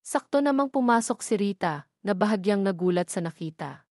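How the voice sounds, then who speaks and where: A woman's voice: medium pitch, expressive, normal speed, darkish tone, no echo, clean studio sound. A woman with a Filipino accent narrating a scripted audio drama story.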